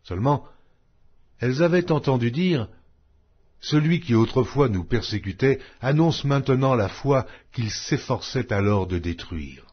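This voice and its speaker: Solemn male Bible reader, husky, lively pitch. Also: highs cut off. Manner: measured, solemn reading with wide pitch swings. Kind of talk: scripted scripture reading.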